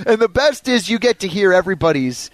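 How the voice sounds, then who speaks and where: A man hosting a sports radio show, talking casually with playful, excitable banter and reading sponsor spots. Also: high-pitched, fairly quick.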